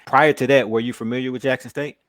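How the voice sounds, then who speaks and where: A man's voice: mid-range voice, steady tone, fast talker, a bit bright, no room sound. A man interviewing a young football player, asking friendly questions and teasing him a little. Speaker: podcast interviewer. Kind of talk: casual sports interview.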